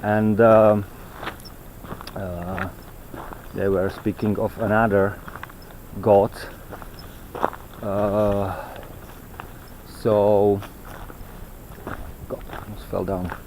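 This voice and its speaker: A man giving an unscripted, rambling monologue while walking outdoors, halting and fragmented as he searches for words. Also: fairly low pitch, little pitch movement, darkish tone, a little hiss or hum, rough recording. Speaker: vlogger. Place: outdoors on a walk in nature.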